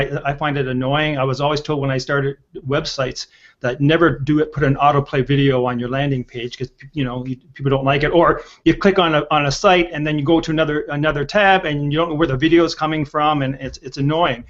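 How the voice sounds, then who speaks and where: A man in his sixties hosting a conversational panel discussion over a video call. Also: on the high side. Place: indoor room on a video call. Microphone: video call with earpiece. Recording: dull lo-fi video call audio.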